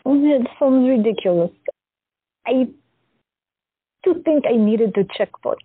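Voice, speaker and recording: A woman's voice: on the high side, lively intonation, measured pace, breathy edge, dry recording, heavily acted. A woman playing a shy woman patient who has visions, speaking hesitantly and with wonder. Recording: dry, tinny phone-like audio.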